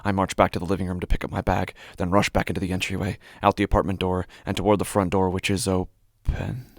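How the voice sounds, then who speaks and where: A man acting a deep, gravelly, obsessive horror narrator. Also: little pitch movement, fast, dry recording, clean studio sound. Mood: hurried, unsettled. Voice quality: low, gravelly, often breathy, dropping to whispers. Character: anxious, obsessive male apartment dweller fixated on a door. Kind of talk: dramatic first-person horror audio drama monologue.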